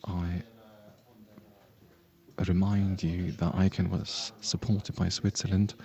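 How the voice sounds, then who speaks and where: A male parliamentarian whose first language is Italian, answering on a panel and searching for words in a halting, apologetic way. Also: low voice, little pitch movement, mellow.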